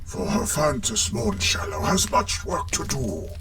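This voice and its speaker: A man playing a menacing, gloating sorcerous villain in a fantasy audio roleplay, theatrical and drawn-out, sometimes sinking into whispers. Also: fairly high pitch, huge pitch swings, gravelly, fairly bright tone, faint music behind, exaggerated voice. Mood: suspicious, gloating.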